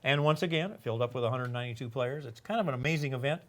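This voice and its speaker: A man's voice: medium pitch, racing. Older man, rambling and joking. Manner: rambling, joking, many fillers. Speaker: podcast host, organization executive. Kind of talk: casual podcast chat.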